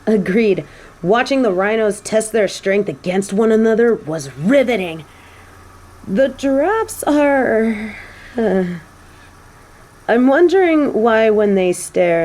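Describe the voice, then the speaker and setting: A woman playing a snobby but secretly soft fallen angel in a scripted audio roleplay, with prim, formal phrasing. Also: mid-range voice. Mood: musing.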